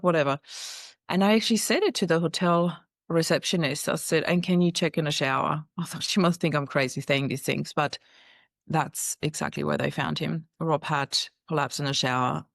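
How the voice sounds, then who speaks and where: Gravelly woman, dry sound. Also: sits low, moderate pace, no echo. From Austria. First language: German. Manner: earnest, recounting in vivid detail. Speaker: guest. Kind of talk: personal storytelling in an interview.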